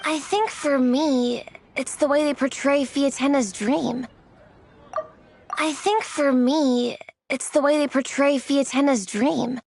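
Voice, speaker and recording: A woman's voice: high-pitched, a little hiss or hum. A woman voicing a sincere, self-doubting young artist in a video game, reflective in manner. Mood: sincere, admiring.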